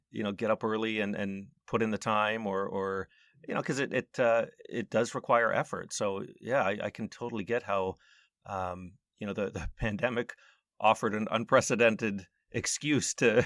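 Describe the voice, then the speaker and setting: A man's voice: lowish voice, a bit bright, no room sound, clean studio sound. A man hosting a podcast, presenting and interviewing in a conversational but orderly way. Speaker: podcast host.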